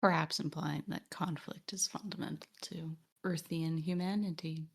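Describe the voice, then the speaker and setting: A woman's voice: low voice, fairly even intonation, normal speed, gravelly. A woman co-hosting a podcast, talking through a video game in an analytical, wordy way as she thinks aloud. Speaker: podcast co-host. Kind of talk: discussion of a video game, partly reading text aloud.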